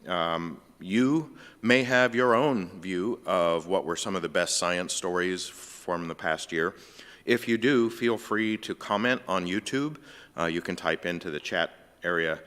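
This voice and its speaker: Very deep, bright-edged hoarse male co-host, rambling with fillers, long church-hall reverb. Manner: rambling, with many fillers and restarts. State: scratchy, hoarse voice after an illness. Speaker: co-host of a science discussion. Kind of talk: casual hosted discussion.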